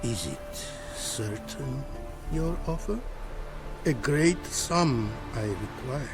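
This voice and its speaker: An actor playing a courteous, proud scholar-artisan in a video game, speaking in formal, inverted, archaic phrasing. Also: male voice, mid-range voice, lively intonation, music in the background. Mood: cautious, earnest. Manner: formal, inverted archaic syntax, deliberate emphasis. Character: courteous, proud foreign male rune craftsman, melancholy scholar. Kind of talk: video game cutscene acting.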